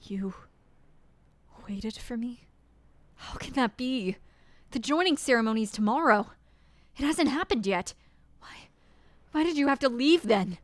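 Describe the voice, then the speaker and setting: A woman's voice: a little high, expressive, measured pace, pauses often, no room sound, voice pushed up. A woman voicing a distraught young man who pleads in bewilderment and rises into anguished cries. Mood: desperate.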